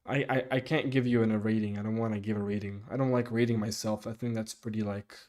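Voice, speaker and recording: A young man streaming live and answering viewer comments in a halting, casual way, with many restarts and fillers. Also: lowish voice, steady tone, darkish tone. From Sweden.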